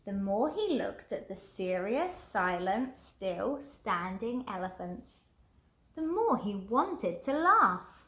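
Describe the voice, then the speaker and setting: Slow-paced woman reading in an echoey room. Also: mid-range voice, very animated, fairly bright tone. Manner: slow, deliberate, asks the listener questions. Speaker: children's storybook reader. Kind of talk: expressive picture-book read-aloud with asides to young listeners.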